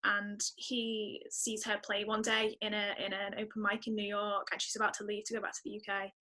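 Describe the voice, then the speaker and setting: A woman's voice: medium pitch, monotone, a little fast, bright, forceful tone, low-quality recording. A woman chatting casually as a guest, emphatic and thinking aloud as she goes. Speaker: podcast guest, singer-songwriter. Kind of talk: casual chat.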